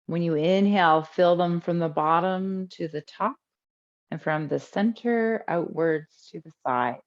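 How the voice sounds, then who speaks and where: A woman teaching a guided breathing meditation in a calm, unhurried way with long pauses between instructions. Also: on the low side, dull audio.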